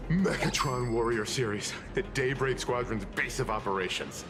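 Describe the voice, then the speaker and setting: A man playing a mature, scholarly gentleman in a video game, speaking politely and formally with boyish enthusiasm underneath. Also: mid-range voice, moderate pace, lightly acted. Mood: courteous, thoughtful. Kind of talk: anime-style video game voice acting. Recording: music behind the voice.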